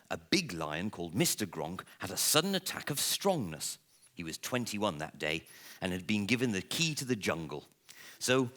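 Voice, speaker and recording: Animated man reading a storybook aloud. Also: on the low side. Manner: theatrical, playful, with dramatic pauses. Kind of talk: expressive live storybook reading.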